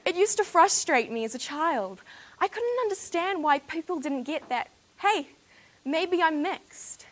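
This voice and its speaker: A woman's voice: extremely high pitch, lively intonation, measured pace. A teenage woman from New Zealand with a New Zealand accent, giving a formal competition speech with rhetorical, dramatic emphasis. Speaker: speech contestant.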